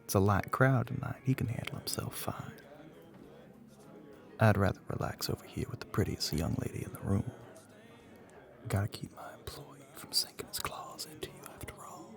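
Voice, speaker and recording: A man playing a flirty, middle-aged bartender with a Southern US accent and a low, gravelly voice, teasing in a folksy way in an ASMR roleplay. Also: moderate pace, music in the background. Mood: flirty, smooth. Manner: drawling folksy terms of endearment, teasing.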